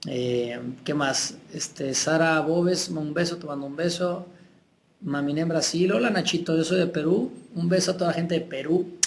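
A man's voice: on the high side, normal speed. A young man with a Mexican accent, chatting casually on a live webcam stream, reading out fan messages and sending greetings. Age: young adult. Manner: warm, chatty, reads out names and sends kisses. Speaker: singer answering fans on a webcam stream. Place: room with tall windows, indoors. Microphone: laptop webcam.

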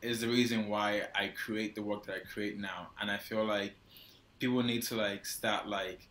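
A young Nigerian man talks as a guest on a video call, his fairly low voice bright and forceful. He rambles casually with many restarts and fillers. The sound is roomy, with echo from a small indoor room. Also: fairly even intonation, moderate pace. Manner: loose and hesitant, heavy use of "like" and restarts. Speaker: guest photographer. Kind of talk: casual interview answers. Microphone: wired earphone mic on a video call.

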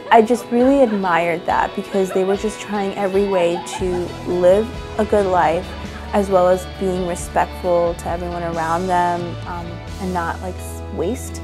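A young woman in an interview, earnestly telling her story in a chatty way with lots of "like" fillers. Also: fairly low pitch. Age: young adult. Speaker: fashion designer and boutique owner. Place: indoor room, seated across from interviewer. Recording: soft music underneath.

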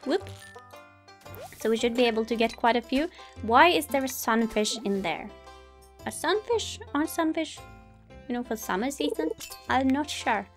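Female let's play host, high voice, mild and chatty, game music underneath. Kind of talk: casual gameplay commentary, reading game dialogue aloud.

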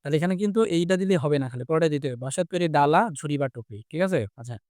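A man's voice: fairly high pitch, animated, quick pace, darkish tone, dry recording, studio quality. A man with a Bangladeshi Bengali accent teaching vocabulary conversationally, repeating phrases and asking check questions. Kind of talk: interactive vocabulary lesson, explaining and quizzing.

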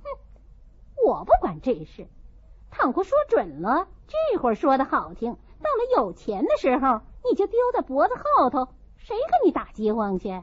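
A woman narrator plays a proud young mistress of a rich house in a classic-novel audiobook. She is scornful and cutting, with a high voice that swings widely. The recording is a little dull. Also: wildly swooping pitch, normal speed, no room sound.

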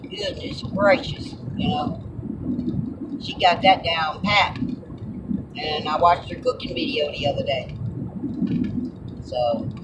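A man with a Southern US accent chatting casually and folksily as he vlogs from a moving car. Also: high voice. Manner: folksy, colloquial. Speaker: vlogger. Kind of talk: casual rambling chat while driving. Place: inside a moving car on a road. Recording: loud car road noise, distant mic.

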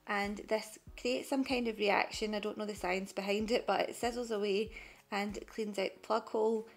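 A woman's voice: mid-range voice, vocal fry. A young woman narrating her cleaning vlog over the footage in a chatty, matter-of-fact way. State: pregnant. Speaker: cleaning vlogger.

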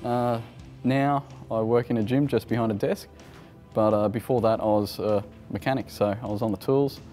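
A young man in his twenties introduces himself casually. His voice is mellow and warm, with faint music behind it. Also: fairly low pitch, steady tone, normal speed. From Queensland. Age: 20s.

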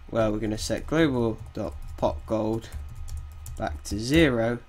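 Man, croaky from a cold, slow and hesitant, thinking aloud, keyboard typing in background. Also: on the low side, soft background music. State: has a cold, slightly croaky. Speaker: game development tutor. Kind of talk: casual screen-recorded coding tutorial.

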